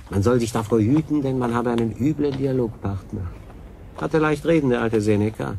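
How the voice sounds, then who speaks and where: A low, gravelly male castaway mutters to himself in a radio drama. He is wry and ailing, and clear noise surrounds his voice. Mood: wry, exasperated.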